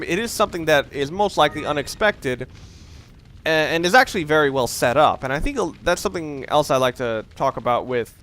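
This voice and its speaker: A man's voice: on the high side, a bit bright, a little hiss or hum. A young man giving casual, rambling, opinionated commentary while playing a video game, with the odd curse word. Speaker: let's play commentator.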